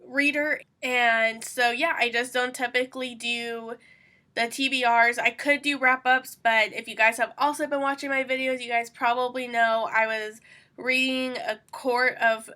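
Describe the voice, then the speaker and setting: A woman's voice: on the high side, fairly even intonation, a little slow, bright, forceful tone. A young woman with a stuffy, congested voice from a cold, chatting casually to her channel about her reading plans, rambling with lots of fillers. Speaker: booktuber host. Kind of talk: casual chat to camera.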